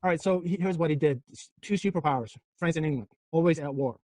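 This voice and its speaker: A man's voice: fairly high pitch, fast, darkish tone, no room sound. A middle-aged man from Turkey lecturing a physics class, joking and throwing rhetorical questions at his students.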